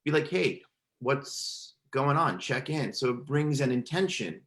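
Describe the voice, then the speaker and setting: A man's voice: medium pitch, animated, a little slow, a bit bright. Man talking with a stutter. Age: adult. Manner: stutters, with repeated words and restarts. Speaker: podcast guest. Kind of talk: reflective conversational storytelling.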